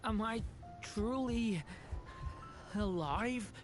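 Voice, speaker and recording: A man playing a frail, confused young created being in a video game, halting and pleading. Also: high-pitched. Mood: weak, confused. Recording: loud background music.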